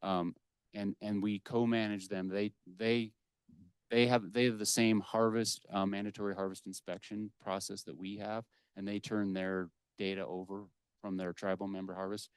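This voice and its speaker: A man's voice: lowish voice, little pitch movement, normal speed, smooth, no echo. A man speaking as a wildlife official on a panel, explaining policy off the cuff in an assertive, emphatic way. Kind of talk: unscripted panel remarks, explanatory. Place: indoor public panel discussion at a library.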